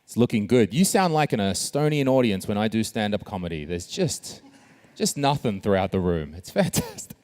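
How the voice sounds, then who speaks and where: Lively, joking male conference moderator. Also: lowish voice, moderate pace. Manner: upbeat, jokey, chatty. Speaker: panel moderator.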